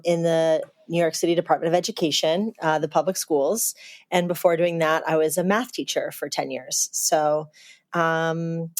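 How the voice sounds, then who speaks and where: A woman in her thirties, originally from Texas, talks as a guest on a podcast. Her voice sits a little low, and her talk is open and chatty. Laughter slips in easily as she tells her story. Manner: candid, chatty, laughs easily mid-sentence. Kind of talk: casual conversation, telling her birth story.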